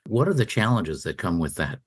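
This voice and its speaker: A man's voice: deep voice, sing-song, fairly quick, breathy edge, no echo, clean studio sound. A man co-hosting a radio talk show, putting questions to his guests. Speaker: interviewer, co-host.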